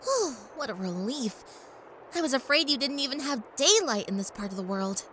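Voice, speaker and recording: A woman's voice: high voice, big pitch swings, moderate pace, bright, forceful tone, heavily acted. A woman playing a curious, polite young magical pony in an audio drama. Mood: relieved. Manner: inquisitive, courteous. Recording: ambient noise behind voice.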